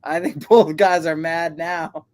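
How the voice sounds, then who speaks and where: A man co-hosting a casual watch-along, calling the fight's action in a relaxed way and chuckling as he goes. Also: on the high side, unhurried. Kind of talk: casual play-by-play sports chat.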